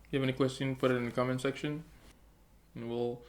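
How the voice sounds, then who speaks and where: A man from Jamaica co-hosting a casual advice chat, calm and low-key with dry humor. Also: fast talker. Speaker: co-host.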